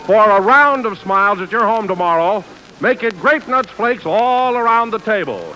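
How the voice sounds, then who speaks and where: A man's voice: high voice, animated, moderate pace, loud music behind the voice, rough recording. A man working as a 1940s radio announcer and comic sidekick, with a hearty, grandiose delivery. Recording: hissy vintage radio recording.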